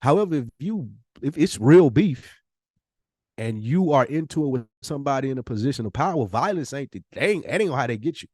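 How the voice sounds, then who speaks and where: A male podcast host with a mid-pitched voice, recorded close and dry. He argues his opinions in casual, slangy speech, leaning on emphatic rhetorical questions. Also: expressive, a little fast, a little mellow, no echo.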